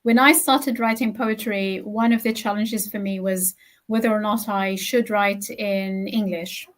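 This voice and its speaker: A woman whose first language is Arabic, a poet speaking in an interview in a measured, earnest and emphatic way. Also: medium pitch, fairly even intonation. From Gaza, Palestine. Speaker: poet, guest. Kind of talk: interview answer.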